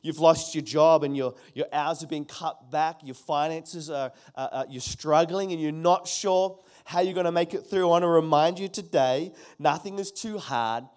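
A man's voice: on the high side, normal speed, few pauses, a little mellow. A middle-aged man preaching a sermon in an emphatic way, repeating his key phrases and calling on the congregation to answer. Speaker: pastor.

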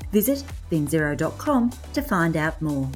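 Woman podcast host, lowish voice, measured ad reads, faint music behind. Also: big pitch swings. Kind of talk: scripted ad read and interview questions.

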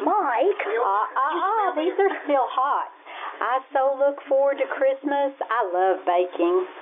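Woman playing a lively, high-voiced chatty granny. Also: slow pace. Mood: cheerful, chatty. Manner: folksy, chatty, fussing over people. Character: kindly, chatty granny who loves baking. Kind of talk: old-time radio play acting. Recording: tinny, noisy phone-like radio sound.

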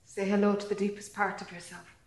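Woman guiding meditation in an echoey room. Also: mid-range voice. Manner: calm, gentle, short phrases with long pauses. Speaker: meditation teacher. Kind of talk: guided meditation.

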